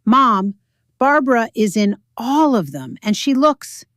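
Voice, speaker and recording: A woman plays an alarmed grown daughter in an audio drama. Her voice is raised in pitch, urgent and full of disbelief. The sound is close and dry. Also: sing-song, takes it slowly, no room sound. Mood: exasperated. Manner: incredulous, urgent.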